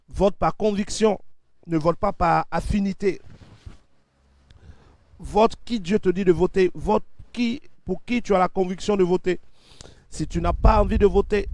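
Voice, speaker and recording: A man preaching fervently on a livestream in a steady repetitive cadence, with a head cold. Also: sits high, dry recording. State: has a cold. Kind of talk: sermon with sung worship.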